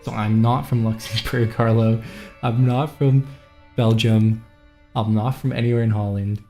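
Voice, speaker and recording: A man's voice: lowish voice, steady tone, measured pace, mellow, faint music behind. A man from Montreal chatting loosely with viewers on a live stream, rambling and reading out chat. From Montreal, Canada. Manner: rambling, scattered, reads chat aloud.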